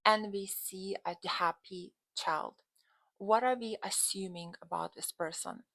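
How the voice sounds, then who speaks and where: Lithuanian woman chatting to camera like a coach, lots of vocal fry, really dry recording, emphatic. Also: medium pitch, measured pace, sharp tone, dry recording, rough recording. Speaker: dating and relationship coach, channel host. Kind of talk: casual talk to camera.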